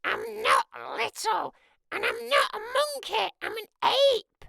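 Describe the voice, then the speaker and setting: A storybook narrator voicing a grumpy, excitable young boy ape in a whiny, emphatic manner. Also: very high-pitched, takes it slowly, vocal fry, no echo, studio quality, pitched above the performer's own voice, exaggerated voice. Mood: indignant. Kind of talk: children's picture-book character reading.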